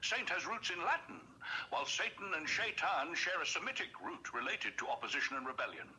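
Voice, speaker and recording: A man's voice: on the high side, animated, fairly quick, sharp tone, rough recording. A man voicing a jolly, kindly old Santa Claus in a phone chat, exuberant and courteous, explaining things in a scholarly way. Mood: earnest, explanatory. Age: older. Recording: phone speaker playback, some background noise.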